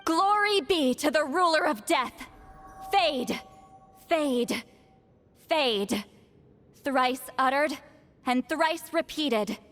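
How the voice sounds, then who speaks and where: An actress playing an imperious, sharp-tongued shaman high priestess in a video game, with a theatrical, commanding manner. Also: drawn-out words, pauses often, soft background music, full character voice. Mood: solemn, commanding. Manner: solemn incanting, dry sarcastic scolding.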